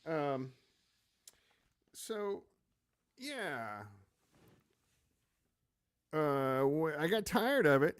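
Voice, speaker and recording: A man's voice: a little high, very animated, very slow, long pauses, smooth. A man in his late fifties, an amp maker hosting a live web show, chatting candidly and reading out viewer comments, with lots of ums and uhs as he rambles on. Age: 50s. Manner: rambling and candid, with many fillers.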